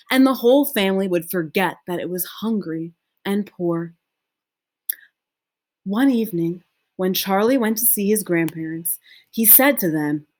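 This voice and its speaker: A woman reading a children's book aloud as scripted, expressive narration. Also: medium pitch. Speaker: storybook reader.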